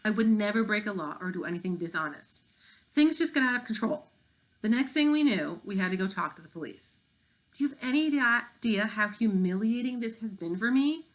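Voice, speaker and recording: A woman's voice: medium pitch, lively intonation, brisk, close to an everyday voice, poor audio. A woman reading the part of a prim, indignant fifth-grade overachiever, a girl who sounds aggrieved.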